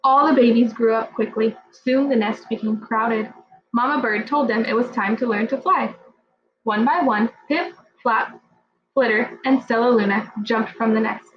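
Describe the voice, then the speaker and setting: A woman's voice: fairly high pitch, steady tone, normal speed. A woman reading a children's picture book aloud as a storyteller. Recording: muffled audio with music. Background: music.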